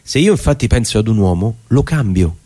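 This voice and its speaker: A man's voice: on the low side, expressive, brisk, mellow. A man performing a theatrical recitation of a literary text. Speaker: stage performer.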